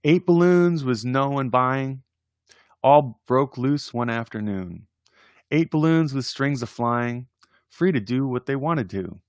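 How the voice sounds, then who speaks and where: Slow-paced man reading children's poems. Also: mid-range voice, no echo, clean studio sound. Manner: playful, expressive verse reading with informal chatty asides. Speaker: host reading poems aloud on a livestream. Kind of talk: casual read-aloud of children's poems.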